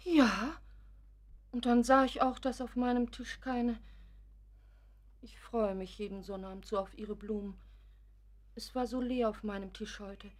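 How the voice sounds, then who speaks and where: Woman playing a high-voiced, devoted, timid neighbour. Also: fairly even intonation, a little slow, pauses often, close to an everyday voice. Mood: earnest, wistful. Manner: earnest, anxious, tender. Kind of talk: German radio drama acting.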